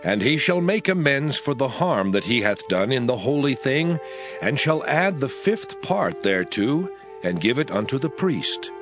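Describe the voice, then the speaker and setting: Solemn man reading scripture with lively intonation. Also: medium pitch, music in the background. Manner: solemn, measured, declamatory. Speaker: scripture reader.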